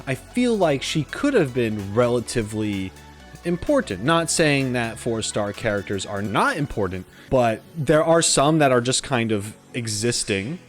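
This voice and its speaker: A man's voice: mid-range voice, sing-song, measured pace, soft background music. A man hosting a casual video game reaction, chatty and rambling with a self-deprecating way of talking.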